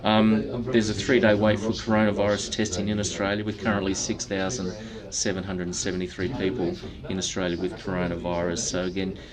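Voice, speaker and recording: A man with a lowish voice hosts a livestream from a coffee shop, running through the news in a relaxed, halting way. Clear café chatter carries on behind him. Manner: relaxed, with many ums and uhs. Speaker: livestream host. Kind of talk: casual news roundup and interview.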